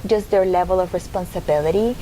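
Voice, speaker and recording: A woman's voice: fairly low pitch, mellow, faint music behind, low-quality recording. Thoughtful woman in her forties. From Venezuela. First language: Spanish. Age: 40s. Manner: thoughtful, measured, many ums and "and stuff like that". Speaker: guest. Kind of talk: casual interview.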